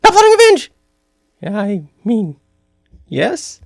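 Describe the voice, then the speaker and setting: A man playing an indignant, nervous young student mage in an anime parody dub, flustered and excitable. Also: extremely high pitch, slow, creaky, no echo, studio quality, heavily acted.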